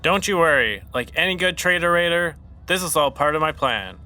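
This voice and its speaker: An actor playing Austin, an odd, deadpan young man with a quirky scheme in a podcast sitcom. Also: a little high, a little fast.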